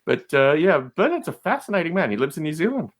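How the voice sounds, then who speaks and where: A man's voice: fairly high pitch, big pitch swings, fast talker. A man chatting casually as a podcast guest, telling stories in a jokey, digressive way. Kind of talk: casual storytelling chat.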